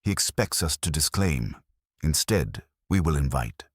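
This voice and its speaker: A man voicing an imperious detective boss in an audiobook, with formal, measured, pompous diction. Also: extremely low pitch, lively intonation, slightly rough, no room sound, clean studio sound, heavily acted. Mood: stern, resolute.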